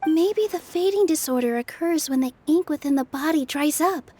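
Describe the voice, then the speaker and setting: A woman voicing a gentle, earnest young forest fairy for a video game, soft and sweet in tone. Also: high-pitched, normal speed, lightly acted. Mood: thoughtful, surprised.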